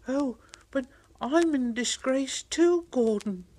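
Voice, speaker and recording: A man voicing a small, anxious, cheeky male engine in a high, squeaky, childlike voice. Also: lively intonation, takes it slowly, a little mellow, full character voice. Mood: meek.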